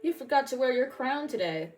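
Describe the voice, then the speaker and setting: A woman reading a storybook, voicing a brash soldier who scoffs and mocks loudly. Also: high-pitched, animated, brisk. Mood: mocking. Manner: mocking, loud. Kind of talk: storybook read-aloud character voice.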